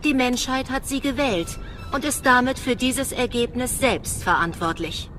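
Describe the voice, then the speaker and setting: A woman's voice: on the high side, measured pace, a bit bright, soft background music. A woman playing a polite, menacing alien android envoy in a radio drama, formal and condescending. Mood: cool, formal.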